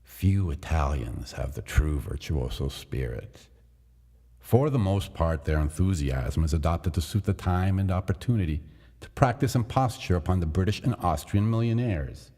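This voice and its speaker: A man narrating a classic gothic tale in a measured, deliberate dramatic reading. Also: low-pitched, lively intonation, warm tone, long reverb tail.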